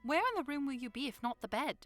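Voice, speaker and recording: A woman's voice: high-pitched, lively intonation, quick pace, a bit bright, soft background music. A woman playing a spirited, curious young noblewoman in an audiodrama, teasing and insistent yet polite. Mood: stubborn, teasing. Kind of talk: audiodrama acting.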